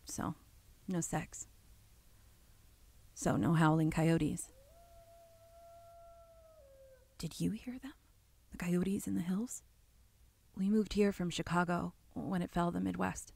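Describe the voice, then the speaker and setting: An actress playing an uneasy, brooding woman who haltingly records her account in a horror drama, with a husky, gravelly voice. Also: lowish voice, darkish tone, full character voice. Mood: hushed, uneasy. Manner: halting, brooding, breaking into whispers.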